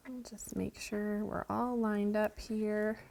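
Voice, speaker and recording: A woman's voice: mid-range voice, little pitch movement, takes it slowly, gravelly, background noise. A woman from the hosting a quilting tutorial. She talks casually as she works and explains each step, and she sounds a little stuffy from a cold. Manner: chatty, walks through steps while working. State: has a cold, sniffling. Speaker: quilting show host. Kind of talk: friendly sewing tutorial. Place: sewing studio indoors.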